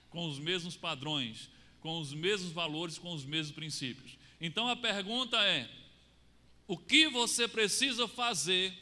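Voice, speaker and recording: A Brazilian man preaching a sermon, swinging between raised lines and low, quiet drops for emphasis. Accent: Brazilian Portuguese. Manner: rises and falls, drops low to stress points. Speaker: pastor. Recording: long reverb of a church hall.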